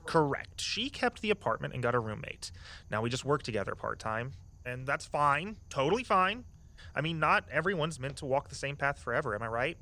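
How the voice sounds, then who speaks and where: A man acting as an easygoing young tour guide in an audio drama. He sounds like a loyal friend, chatty and gently earnest, with a medium-pitched voice. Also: sing-song, brisk. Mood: wistful. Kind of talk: naturalistic audio drama dialogue.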